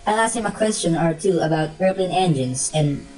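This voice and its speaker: A woman's voice: fairly low pitch, lively intonation, moderate pace, a little mellow, a little hiss or hum, natural voice, rough recording. A woman playing a sarcastic, teasing teenage brother in a radio play. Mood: mocking.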